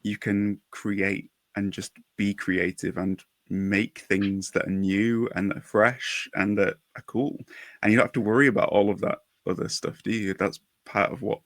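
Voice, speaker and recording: A man's voice: fairly low pitch, gravelly, no room sound. A man hosting a casual podcast, enthusiastic and fond of tagging questions onto his points. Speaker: podcast host.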